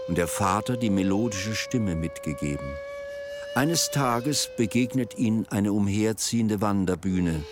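Low-voiced man narrating over music. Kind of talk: scripted storytelling narration in a radio play.

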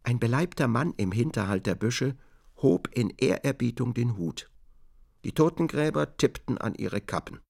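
Male narrator, radio drama, gravelly edge, lively varied intonation, literary prose. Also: mid-range voice, moderate pace.

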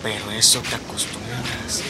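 An actor in a radio drama playing a suspicious street-tough smuggler's sidekick, with a Mexican border accent and slangy talk sprinkled with English. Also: male voice, fairly low pitch, flat delivery, normal speed, very noisy, natural voice. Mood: suspicious, uneasy. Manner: slangy, mixing in bits of English.

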